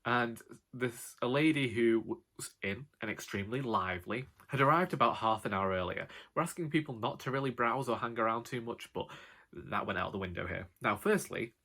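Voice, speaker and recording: A British man with a loud voice rambling casually to camera in a wry, sarcastic way, like a vlogger. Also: medium pitch, lively intonation, normal speed. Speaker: vlogger and author.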